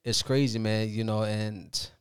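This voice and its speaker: A man in his thirties from Liberia, telling his story as a podcast guest in a reflective, unhurried way. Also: on the low side, little pitch movement, smooth, dry recording, clean studio sound. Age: 30s. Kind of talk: casual interview.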